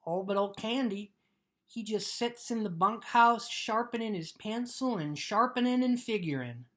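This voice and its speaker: A woman playing a simple, trusting male American ranch laborer in a dramatic reading, speaking in earnest, halting rural vernacular. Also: mid-range voice, animated, fairly bright tone. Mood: eager, simple. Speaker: actor.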